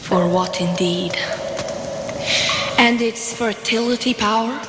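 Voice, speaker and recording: An actress playing a composed, determined traveller in a sci-fi audio drama, measured and quietly persuasive. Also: female voice, on the high side, very noisy. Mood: probing. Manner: measured, deliberate. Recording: echoey hall reverb, music behind.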